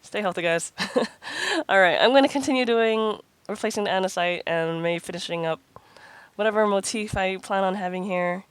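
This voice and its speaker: A woman's voice: medium pitch, normal speed, clear, resonant tone, no echo. A woman hosting her gaming channel, chatting casually over gameplay while congested from a cold, rambling with frequent ums and restarts.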